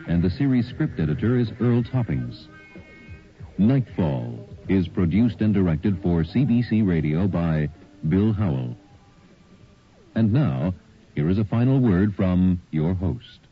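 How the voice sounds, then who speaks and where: A man reading scripted credits as a radio announcer, formal and projected. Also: deep voice. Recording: dull old radio broadcast recording.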